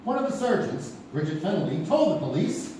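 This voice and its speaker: An actor plays a knowing male storyteller delivering dramatic scripted mystery narration. His high, lively voice has a mellow tone and pointed pauses, and rings from afar in a very echoey hall. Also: far from the mic, slight background noise. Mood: intrigued, grave. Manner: theatrical, rhetorical questions, pointed pauses. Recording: echoey live theatre recording from afar.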